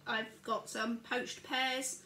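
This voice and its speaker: A woman's voice: a little high, flat delivery, takes it slowly, background noise. An older woman chatting through a breakfast recipe in her home kitchen, talking each step through as she works. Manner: chatty, narrates each step while working. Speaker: home cooking vlogger. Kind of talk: casual cooking demonstration. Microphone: camera across the counter. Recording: echoey kitchen with clattering dishes.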